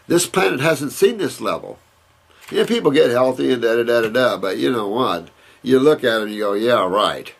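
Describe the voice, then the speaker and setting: Older male naturopath, gravelly and slightly husky, rambling and emphatic Q&A delivery. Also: medium pitch, animated, moderate pace. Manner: rambling, emphatic, repeats words and restarts. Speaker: naturopath answering viewer questions. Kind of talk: casual Q&A talk, reading and answering letters.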